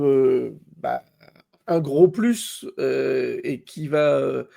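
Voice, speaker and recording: A man hosting a casual live stream interview, speaking in a hesitant, halting way. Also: on the high side, vocal fry, warm tone. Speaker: host of a live show.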